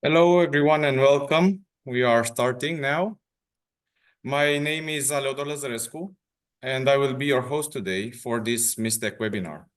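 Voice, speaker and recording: Young man, romanian accent, webinar host, careful measured reading, mid pitch. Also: clear, resonant tone. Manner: careful and measured, a little tense. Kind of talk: reading a prepared introduction.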